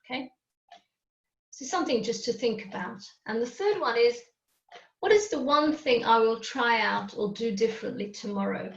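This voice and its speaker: A woman whose first language is Hungarian presents a live webinar over a video call, explaining each step and pausing to ask "okay?". Her voice sits a little high. The audio is roomy and somewhat dull. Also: vocal fry. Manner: explanatory, often checks in with "okay?".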